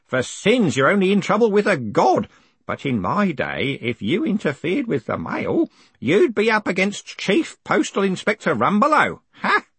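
A man's voice: high-pitched, huge pitch swings, normal speed, fairly bright tone, slightly rough, dry recording, pitched above the performer's own voice, heavily acted. A man voicing a fussy, deferential old postal worker in an audiobook, earnest and insistent. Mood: indignant. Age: older. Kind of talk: audiobook character acting.

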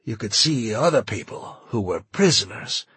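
A traumatized veteran pilot, played by a male narrator tells of horrors in an audiobook. He is agitated and anguished, with a very husky, rough-edged voice in a dry, close recording. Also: on the low side, very animated, moderate pace, a bit bright, no echo, full character voice. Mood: anguished, horrified. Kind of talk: dramatized audiobook dialogue.

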